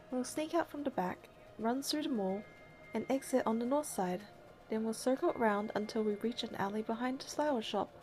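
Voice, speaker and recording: A woman's voice: on the high side, darkish tone. A woman doing a game playthrough, reading narration and heroine lines aloud and commenting casually.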